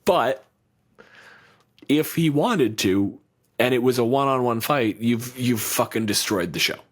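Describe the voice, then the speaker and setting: A man's voice: medium pitch, animated, unhurried, breathy edge. A man co-hosting a podcast in casual chat, blunt and profane, riffing with lots of energy. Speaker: podcast co-host.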